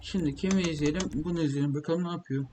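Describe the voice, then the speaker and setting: High-pitched young man rambling over noisy gameplay. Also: fairly even intonation, fast talker, darkish tone. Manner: informal, rambling, thinking aloud. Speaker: gaming video creator. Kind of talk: casual gameplay commentary. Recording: clearly noisy home recording, keyboard clicks. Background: typing and keyboard clicks.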